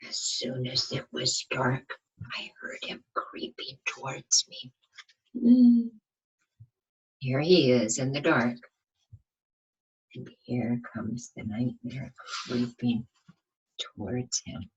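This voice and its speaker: A woman reading a picture book aloud to young children like a teacher, expressive, often asking the listeners questions. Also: rumbling low, takes it slowly, pauses often, husky, lo-fi sound. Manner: asks the listeners questions, dramatic emphasis.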